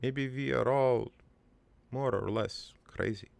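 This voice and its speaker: A man playing a gruff, crude army veteran turned prankster, telling his regretful life story in a halting, matter-of-fact ramble for an improvised cartoon monologue. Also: medium pitch, dry recording. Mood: somber, weary.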